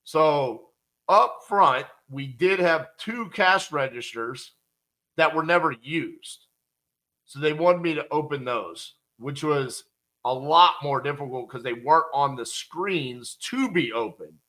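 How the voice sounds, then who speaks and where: A man hosting his channel, telling a personal story in a lively, conversational way. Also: on the high side, slow pace. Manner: animated, acts out quoted voices; conversational with fillers. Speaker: channel host.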